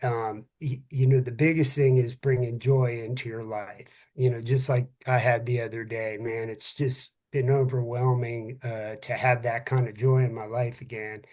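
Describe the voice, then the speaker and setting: A man's voice: medium pitch, flat delivery, moderate pace, few pauses, darkish tone. A middle-aged man co-hosting a first responder podcast, talking earnestly and candidly with friends, casual and given to swearing. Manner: earnest, candid, salty-tongued. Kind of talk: casual heartfelt talk among friends.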